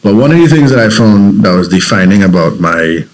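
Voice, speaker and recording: A man with a Trinidadian accent and a very low voice speaks as an interview guest. He tells his story in a reflective, earnest way, often restarting his sentences as he thinks aloud. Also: animated, brisk, a little hiss or hum. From Trinidad and Tobago.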